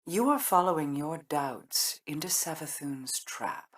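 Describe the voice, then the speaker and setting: An actress playing a proud, cold immortal queen in a fantasy video game, regal and cutting in her deliberate delivery. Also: low voice, animated, recorded from a distance. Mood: cold, warning.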